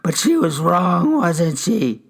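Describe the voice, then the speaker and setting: A man's voice: sits high, big pitch swings, slow pace, husky, pitched above the performer's own voice, full character voice. Man acting a reedy, bitter old widower. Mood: angry, accusing. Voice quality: thin, reedy, quivering voice. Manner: accusing, indignant. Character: grieving, bitter old widower, frail and hostile.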